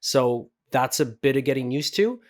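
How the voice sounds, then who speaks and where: A man's voice: mid-range voice, expressive, normal speed. A man hosting a tutorial, talking casually and explaining things step by step in an informal way. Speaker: voice acting tutorial host.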